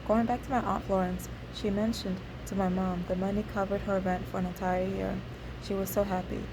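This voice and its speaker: A woman whose native language is Igbo narrates a scripted first-person memoir, reading in a halting way with occasional slips. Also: medium pitch, level tone, noisy background. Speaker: memoir author reading own story.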